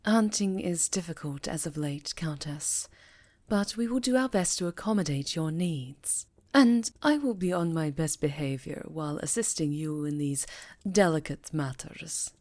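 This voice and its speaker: A woman voicing a dignified, guarded leader of a witches' coven in an ASMR fantasy roleplay, formal, measured and earnest. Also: low-pitched, lively intonation, darkish tone, dry recording. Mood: guarded, businesslike.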